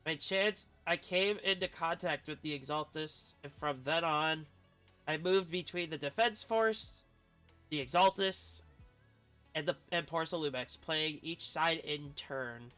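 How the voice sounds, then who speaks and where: A man's voice: fairly high pitch, unhurried, pushed and bright. A man who streams games, reading story text aloud and adding casual commentary. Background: video game music.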